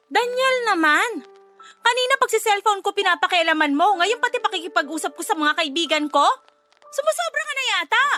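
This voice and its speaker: Super high sharp-voiced wife in a radio drama, resentful, always complaining and defensive, bit of music under. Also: female voice, very animated, brisk, full character voice. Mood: angry, defiant. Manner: indignant, argumentative, emphatic complaining. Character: resentful, defensive young wife and reluctant adoptive mother. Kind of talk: Tagalog radio drama acting.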